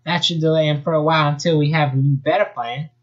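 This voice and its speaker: A man voicing an eager young woman cyborg fighter in a raised, higher voice, with dull lo-fi home recording. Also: fairly even intonation, quick pace, natural voice.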